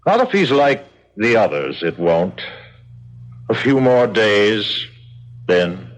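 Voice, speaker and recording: An actor playing a cynical, callous jailer with a dry, dismissive manner in an old radio drama. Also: male voice, fairly low pitch, sing-song, slow. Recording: dull old radio recording.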